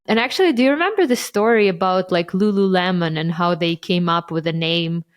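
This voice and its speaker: A woman whose first language is Ukrainian, co-hosting a casual business chat and telling stories in a chatty way. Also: lowish voice. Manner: chatty, quick storytelling with many "like" fillers. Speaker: podcast co-host.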